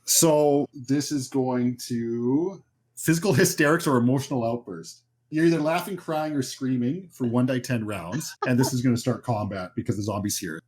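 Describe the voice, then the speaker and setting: Guy game mastering a horror rpg, bit husky, chatty, explains rules as he goes. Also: medium pitch, moderate pace, darkish tone. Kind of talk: improvised narration of a horror tabletop game.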